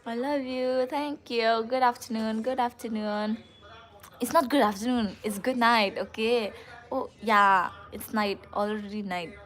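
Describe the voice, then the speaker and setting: A woman's voice: a little high, fairly even intonation, unhurried, fairly bright tone. Casual Northeast Indian woman live-streaming. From Meghalaya, India. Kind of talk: casual live-stream chat, reading and answering comments.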